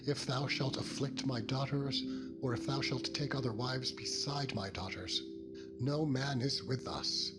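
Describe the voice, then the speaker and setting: A man's voice: mid-range voice, measured pace, voice pushed up, close to an everyday voice. A man voicing a possessive, solemn biblical father-in-law in emphatic, dramatized scripture oratory. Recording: music bed behind the voice.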